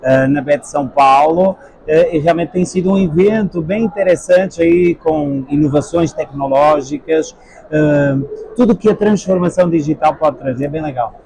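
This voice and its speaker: A man in his forties from Portugal, with a European Portuguese accent, answering interview questions in a measured way with frequent hesitations. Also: on the high side, gravelly, darkish tone, a little hiss or hum, poor audio. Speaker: guest, edtech sales executive. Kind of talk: trade-fair interview answers. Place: busy expo hall.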